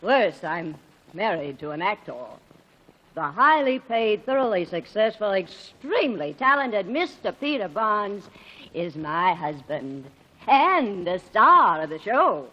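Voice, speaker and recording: A slurring, sarcastic fading stage actress; lively 1940s radio delivery, muffled old broadcast. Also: female voice, medium pitch, takes it slowly. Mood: tipsy, sarcastic. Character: tipsy fading stage actress, bitter and mocking.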